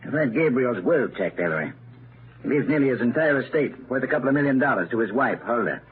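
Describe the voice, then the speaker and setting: An actor playing a gruff older police inspector who interrogates bluntly in a 1930s radio drama. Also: male voice, mid-range voice, expressive, quick pace, lightly acted. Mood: accusing. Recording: tinny, noisy 1939 radio broadcast.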